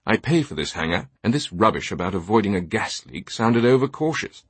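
A man's voice: lowish voice, sing-song, fairly quick, breathy edge, dry recording. A man voicing a jovial, posh older British knight in an audiobook, breezy and blustering with theatrical indignation.

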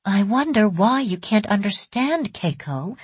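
A woman's voice: medium pitch, big pitch swings, slow pace, a little mellow, breathy edge, no room sound, pitched above the performer's own voice, heavily acted. A woman voicing an earnest, anxious mother who tenderly coaxes her child, in an audiobook story. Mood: helpless. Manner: coaxing, tender.

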